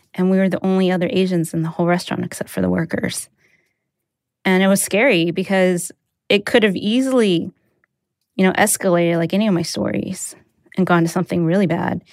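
A woman's voice: on the low side, no room sound, clean studio sound. An American woman whose first language is Mandarin, telling a personal story in an informal, conversational way. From United States. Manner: informal, sprinkled with "like" and "you know".

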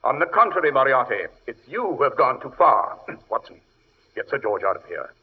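An actor playing an imperious, coolly sardonic British detective in 1940s radio drama style, crisp, superior and dryly witty. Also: male voice, medium pitch, very animated, brisk. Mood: defiant. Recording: dull reverberant vintage radio recording.

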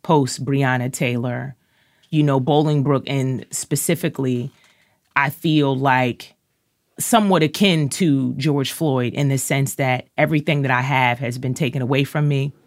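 A woman actor and musician talking in an interview, lively and expressive, often pushing her voice up when she quotes or acts out lines. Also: a bass voice, measured pace, dry recording, clean studio sound. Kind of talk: animated interview talk.

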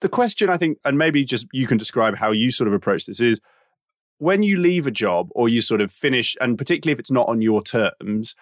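A man's voice: mid-range voice, big pitch swings, brisk, dry recording, studio quality. A man hosting an interview, asking long, winding questions with fillers. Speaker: podcast host.

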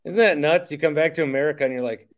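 Male host, talkative and emphatic, pitched a little high. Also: fast. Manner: chatty and emphatic, steering the guest. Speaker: podcast host. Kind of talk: casual interview chat.

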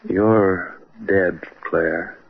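A man's voice: low voice, fairly even intonation, very slow, breathy edge, full character voice. A man acting an arrogant middle-aged husband in a theatrical old-time radio drama, domineering and intense. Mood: anguished, horrified. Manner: domineering, theatrical, clipped phrasing. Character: arrogant, scornful husband unravelling into terror. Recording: muffled old radio recording, crowd-like noise.

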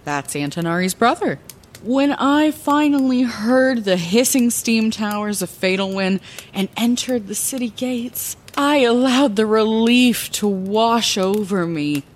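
Leisurely, theatrical old storyteller. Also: female voice, on the high side, measured pace. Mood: storytelling. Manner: expressive, conversational, theatrical. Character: older woman lighthouse keeper reminiscing as bard storyteller, playful, dramatic. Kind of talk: fantasy audio drama narration, bedtime storytelling.

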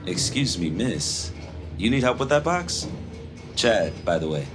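Slow-talking cocky crypto bro. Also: male voice, fairly low pitch, sing-song, over loud music. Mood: flirty. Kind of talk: audio drama acting.

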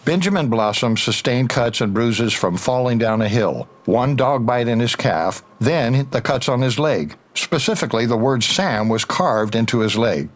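A man's voice: medium pitch, lively intonation, moderate pace, hardly any pauses, natural voice. Man acting officious, needling detective interrogator. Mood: accusing. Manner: formal, pressing, insinuating. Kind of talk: audio drama interrogation.

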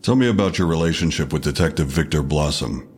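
An actor playing an unflappable police detective in an audio drama, questioning in a measured, official manner. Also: male voice, a bass voice, slightly rough, heavily acted. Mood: formal, controlled.

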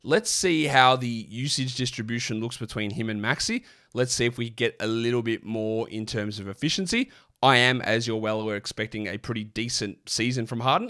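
A man, sick and croaky, hosting a casual basketball podcast with lots of rambling restarts. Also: mid-range voice, a bit bright. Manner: rambling, chatty, many fillers and restarts. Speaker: fantasy basketball podcast host. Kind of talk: casual solo podcast talk.

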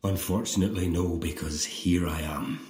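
An actor playing a headstrong young twin in an audio drama, bewildered and distrustful, questioning haltingly and intensely. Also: male voice, low voice, darkish tone, roomy sound, natural voice. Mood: probing, sombre. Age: young adult.

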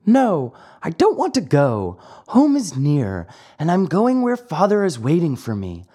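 A man voicing a naive wooden puppet boy who talks in an excited, pleading way. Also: a little slow, slightly rough, full character voice. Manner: excited, pleading, high-pitched.

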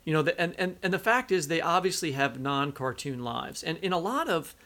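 A man co-hosting a literary podcast, talking through his thoughts in a halting, conversational way. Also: a little high, expressive, pushed and bright. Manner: halting, conversational, thinking aloud. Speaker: podcast co-host. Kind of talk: literary interview discussion.

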